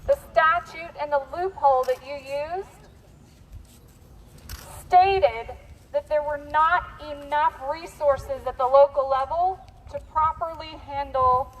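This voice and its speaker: An American woman activist giving a deliberate protest speech through a bullhorn, posing pointed questions to an official. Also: high-pitched. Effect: bullhorn amplification. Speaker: protest activist speaking to the governor. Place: outdoors on a residential street. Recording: noisy outdoor street recording.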